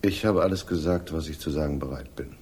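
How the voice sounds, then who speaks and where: An actor playing a self-assured, pious police inspector in a radio crime drama, measured and condescending in his authority. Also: extremely low pitch, darkish tone, slight background noise. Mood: cool, warning. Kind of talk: 1960s German radio crime drama acting.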